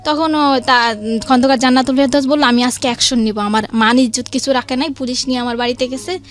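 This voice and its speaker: A woman's voice: sits high, fairly even intonation, quick pace. A woman with a Bangladeshi accent giving a spontaneous personal account as a guest, emphatic, often repeating and quoting others. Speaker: guest recounting a family incident.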